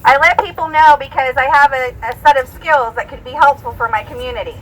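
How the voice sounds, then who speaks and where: A middle-aged woman from Puerto Rico, an attorney, speaking plainly and earnestly, with pauses and fillers. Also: high voice, fairly bright tone, low-quality recording. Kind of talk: rally speech and interview. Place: outdoor street rally. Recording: outdoor rally with street noise.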